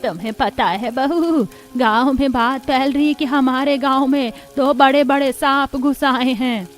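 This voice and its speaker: A woman's voice: high-pitched, a little slow. A woman voicing a worried, gossipy village mother-in-law in a cartoon, anxious and cautioning. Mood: uneasy. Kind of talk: Hindi moral-story cartoon dubbing.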